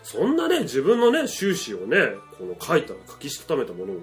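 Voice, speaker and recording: A man's voice: fairly high pitch, huge pitch swings, fairly quick, darkish tone. A man giving casual comic advice on a radio show, emphatic and mock-earnest, acting out imagined lines as he goes. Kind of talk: casual comedic advice chat. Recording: music playing behind the voice. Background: background music.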